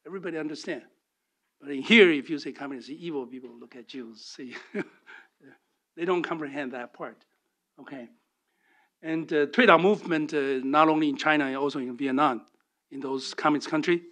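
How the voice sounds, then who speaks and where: Panel speaker: man, slightly raised pitch, halting delivery with many restarts. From Taiwan. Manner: halting, frequent fillers and restarts. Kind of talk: formal remarks at a policy forum.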